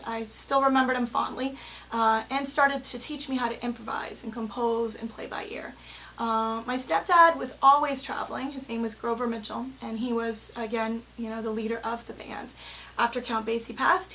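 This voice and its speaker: A woman in her thirties speaks in a fairly high voice, telling her own story in an earnest, articulate way. She sounds like a teacher presenting a personal profile. A faint hiss sits behind her voice. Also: steady tone, moderate pace, sharp tone, rough recording. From born in London, grew up in the Bahamas and England. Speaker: music teacher and company president. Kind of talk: spoken personal profile to camera.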